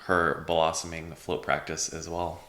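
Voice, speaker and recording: A man's voice: low-pitched, flat delivery, unhurried, fairly bright tone. A man hosting a podcast in a casual, hesitant way, with many fillers and repeated words. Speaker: podcast host. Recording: echoey room.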